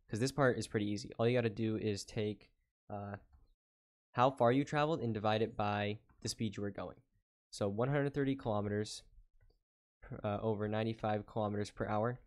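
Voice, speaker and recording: A man working through a math word problem step by step on a whiteboard, explaining calmly with frequent "uh" fillers. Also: fairly low pitch. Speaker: math tutor. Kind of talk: whiteboard lesson walkthrough.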